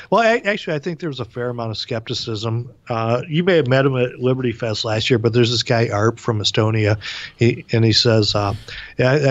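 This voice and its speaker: A man's voice: mid-range voice, dull audio. A man co-hosting a political talk show over a video call, wry and joking, stumbling over repeated words. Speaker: co-host.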